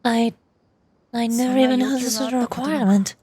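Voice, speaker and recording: Woman acting a blunt, high-voiced young desert mercenary. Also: steady tone, fairly quick, warm tone, natural voice. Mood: shocked, disbelieving. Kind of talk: amateur voice acting of game dialogue.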